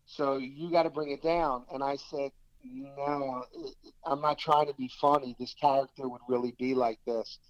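A man's voice: mid-range voice, normal speed, no echo, muffled recording. A man with a South Philadelphia accent, an actor telling stories in a casual interview, acting out what others said to him. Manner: animated anecdote-telling, quoting others in dialogue. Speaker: actor guest.

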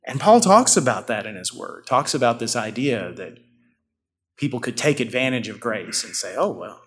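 A man's voice: medium pitch, expressive, moderate pace. A man preaching a sermon as pastor to his congregation, with an earnest, rising and falling delivery.

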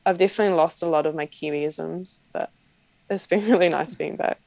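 A young woman with a strong New Zealand accent talks casually in an interview, her speech peppered with "like" and small laughs. Her voice sits low with a gravelly, fry-tinged edge. The sound is dry and close, a bit dull and lo-fi. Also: fairly quick, darkish tone, dry recording. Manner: chatty, frequent "like" fillers and light laughs. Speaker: professional cyclist guest.

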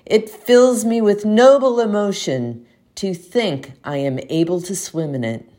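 A woman reciting a poem aloud as an amateur reader, with measured, expressive phrasing. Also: mid-range voice. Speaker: community poetry reader. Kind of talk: poetry recitation.

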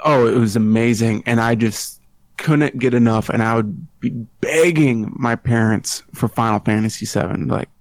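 A man with a mid-pitched voice tells stories in a relaxed podcast chat, stopping to search for words. His voice sits close and dry on the mic. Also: moderate pace, dry recording. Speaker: podcast guest.

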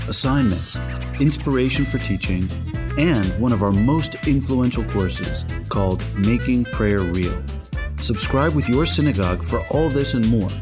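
A man hosting a podcast, reading an announcement and asking questions in a measured, lightly joking way. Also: fairly low pitch. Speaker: podcast host.

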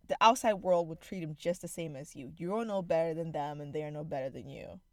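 A young woman from Zimbabwe, co-hosting a podcast in candid, animated casual chat with frequent fillers. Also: sits low, fairly quick, no room sound, clean studio sound. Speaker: podcast co-host.

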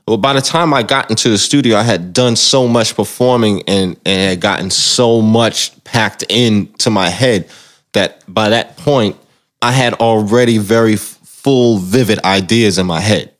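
A man's voice: fairly low pitch, measured pace, a bit bright. A man speaking as a musician guest in an interview, telling stories casually and reflectively, often repeating words for emphasis. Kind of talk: casual conversational storytelling about their own career. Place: music recording studio.

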